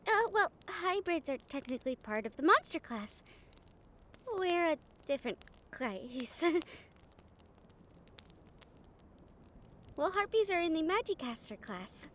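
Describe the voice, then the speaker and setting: Woman playing a young harpy healer, very high pitch, lively intonation, earnest, kind, excitable, audio drama. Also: unhurried, long pauses, slight background noise, full character voice. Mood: gentle, friendly. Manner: bubbly, gentle, earnest. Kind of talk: audio roleplay acting.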